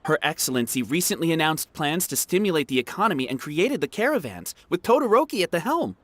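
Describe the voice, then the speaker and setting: An actor voicing an earnest, excitable young war veteran in a video game. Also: male voice, a little high, quick pace, no room sound. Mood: friendly, explaining.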